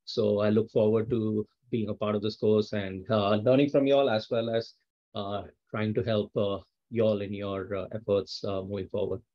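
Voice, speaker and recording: Guy with a lowish flat voice, lots of uhs, kinda muffled. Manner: halting, many fillers and restarts. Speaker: learning facilitator. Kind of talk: self-introduction.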